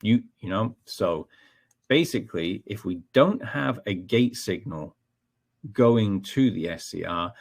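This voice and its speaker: A man's voice: on the low side, expressive, takes it slowly, creaky. A man with a Scottish accent giving a technical webinar, explaining step by step with frequent ums and checking in with "okay?". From Scotland. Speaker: technical trainer.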